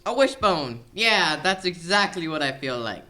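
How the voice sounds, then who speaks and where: Young man playing a sharp-voiced, exasperated mob boss. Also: on the high side, big pitch swings, measured pace, heavily acted. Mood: self-pitying. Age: young adult. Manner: whiny, melodramatic, blustering. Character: exasperated, self-pitying middle-aged mob boss running a pizza parlor. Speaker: actor in a student audio play. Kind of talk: comic radio play acting.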